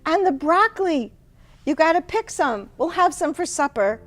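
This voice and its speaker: A woman's voice: sits high, lively intonation, moderate pace, voice pushed up. A woman voicing a blunt, restless mother in her seventies in a dramatic story reading, with abrupt, emphatic declarations. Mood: cheerful. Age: 70s.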